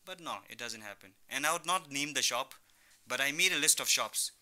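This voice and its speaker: A man's voice: mid-range voice, lively intonation, a little fast, bright, forceful tone. A young man who grew up in Thailand, answering as an entrepreneur guest in a radio interview, explaining with examples and frequent "you know". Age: young adult. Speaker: entrepreneur guest, online business founder. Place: radio studio.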